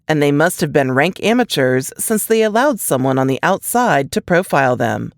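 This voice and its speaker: A woman's voice: sits low, big pitch swings, normal speed, dry recording, clean studio sound. A woman reading scripted fiction as an audiobook narrator.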